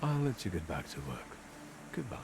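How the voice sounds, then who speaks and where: An actor voicing a grave young male investigator in a video game, speaking in a low, composed way. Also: very animated, normal speed, gravelly, full character voice. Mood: calm. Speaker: game protagonist. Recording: game audio with ambient noise.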